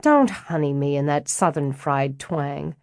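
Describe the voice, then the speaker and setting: A woman voicing a brisk, buttoned-up office manager who talks in crisp, dry, clipped lines. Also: low-pitched, animated, darkish tone, dry recording. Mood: exasperated.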